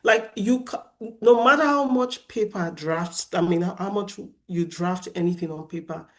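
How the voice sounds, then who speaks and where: A woman in her thirties from Nigeria with a Nigerian accent, a lively, chatty artist speaking on a festival panel. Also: on the low side, normal speed. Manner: lively, chatty, laughs easily. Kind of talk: panel discussion.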